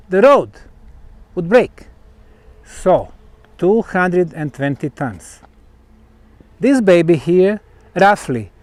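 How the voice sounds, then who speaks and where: A man who is a native Bosnian speaker presenting on location in deliberate, emphatic short phrases with dramatic pauses. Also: high-pitched, dry recording. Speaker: presenter and researcher. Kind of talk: on-location explanatory monologue to camera. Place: outdoors on a granite rock platform.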